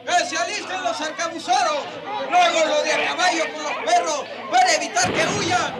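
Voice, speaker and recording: An actor playing a stern, authoritarian Spanish colonial governor and commander, declamatory, in a radio novel. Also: male voice, extremely high pitch, fairly even intonation, normal speed. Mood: battle fury. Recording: music and crowd noise behind.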